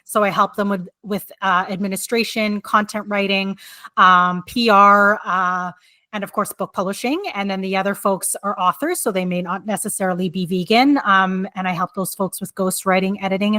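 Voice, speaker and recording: A woman's voice: medium pitch, bright, forceful tone, no room sound. A woman guest on a podcast interview, chatty, often laughing and leaning on fillers as she tells her story. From Canada. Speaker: guest, book coach and writer. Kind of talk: interview answers.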